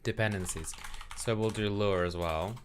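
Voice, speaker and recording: Young male streamer, low-pitched, relaxed and rambling, self-deprecating with chat, keyboard typing audible. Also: normal speed, noisy background. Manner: mumbling, trailing off while thinking aloud. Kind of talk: casual live-stream chat while coding. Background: keyboard typing and clicks.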